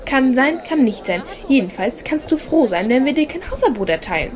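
A woman's voice: sits high, sing-song, a little fast, a crowd in the background. Woman playing a stern, officious female usher. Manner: curt, authoritative. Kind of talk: audio drama acting. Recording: faint background noise.

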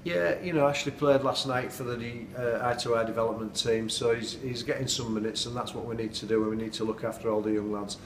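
Football manager, flat and roomy. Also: male voice, medium pitch, brisk, few pauses, distant mic. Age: middle-aged. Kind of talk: post-match interview. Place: indoors at night, dim room. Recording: echoey room, off-mic, noisy.

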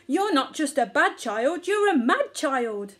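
A woman's voice: high-pitched, lively intonation, moderate pace, fairly bright tone, pitched above the performer's own voice. Woman acting a huffy, scolding mother elephant. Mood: furious. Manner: emphatic, huffy, grumbling. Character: cross, scolding mother elephant, indignant and bossy.

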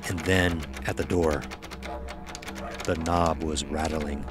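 Slow, very deep male horror narrator. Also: steady tone, over music. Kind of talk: scripted horror audio drama narration.

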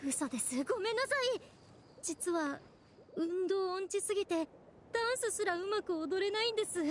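A woman's voice: very high-pitched, moderate pace, a little hiss or hum. A woman voicing a polite, chatty, self-deprecating young female guide in an anime game. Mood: sheepish apologetic. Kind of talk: Japanese anime game voice acting.

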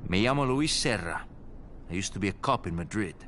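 Game role: wry Spanish charmer, ex-police, slightly low voice, very animated intonation, Spanish accent. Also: male voice, a little fast. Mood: wry, candid. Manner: flippant, wry, teasing. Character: roguish, flippant Spanish ex-cop and ladies' man. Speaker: game character actor. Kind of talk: video game cutscene acting. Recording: game audio with loud ambient noise.

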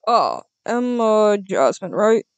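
A casual, halting speaker improvising a part in a video game roleplay. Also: mid-range voice, big pitch swings, clean tone, dry recording, muffled recording. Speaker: player acting as a doctor in a game roleplay.

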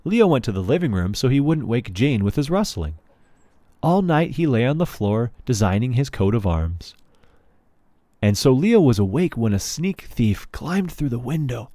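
A man's voice: medium pitch, sing-song, normal speed, dark tone, no room sound. A man reading a children's book aloud as a storyteller. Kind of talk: storybook read-aloud narration.

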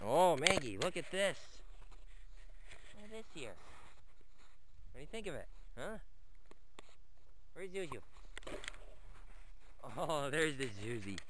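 A man's voice: fairly high pitch, very animated, slow pace, pauses often, background noise. A man talking to his dogs in a sing-song, coaxing way while filming a walk through snowy woods on a handheld camera. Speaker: vlogger walking his dogs.

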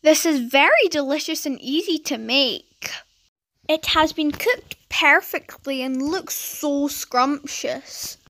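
A woman's voice: sits high, animated, takes it slowly, fairly bright tone, dry recording. A woman from the UK hosting a home cooking channel, cheerfully talking through a recipe and listing the ingredients one by one. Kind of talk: recipe voice-over.

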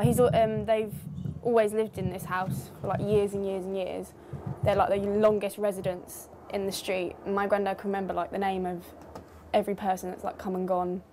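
A young woman talking softly about her family in a documentary interview, with frequent fillers. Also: mid-range voice, level tone, normal speed, slight background noise.

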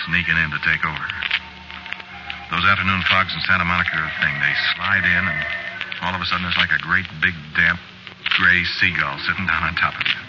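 Actor as deep-voiced, haunted American killer. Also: male voice, lightly acted. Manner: hesitant, brooding, colloquial confessional delivery. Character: brooding, guilt-haunted male murderer recounting his crime. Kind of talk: 1940s radio drama first-person narration. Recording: muffled, very noisy 1940s radio recording.

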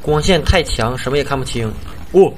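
A man with a medium-pitched voice plays through a horror game and comments casually as he goes. He thinks out loud, often repeating short phrases, and speaks to his viewers. There is faint game ambience noise behind him. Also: expressive, fairly quick. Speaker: gameplay streamer.

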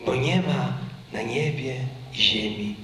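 A man in his thirties recites poetry slowly and theatrically, each phrase given weight. His voice is bright and forceful, caught by a stand mic on a concert stage. The hall adds a roomy echo. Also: mid-range voice, a little hiss or hum. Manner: slow, emphatic, theatrical delivery. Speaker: singer and reciter. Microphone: vintage stand microphone.

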